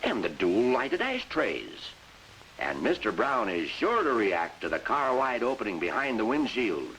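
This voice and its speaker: An actor playing a bossy, emphatic sales mentor who narrates and coaches in a 1950s industrial training film. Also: male voice, on the low side, fairly bright tone, slight background noise, poor audio. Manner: instructive, emphatic, salesmanlike delivery. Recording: vintage 1950s film soundtrack with music.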